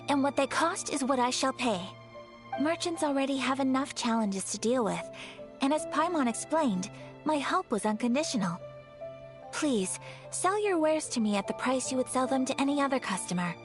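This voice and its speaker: A woman playing a refined young noblewoman in a video game, formal, courteous and gently firm. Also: sits high, expressive, normal speed. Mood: gracious. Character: refined young noblewoman, polite, principled and composed. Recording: faint game music under the voice.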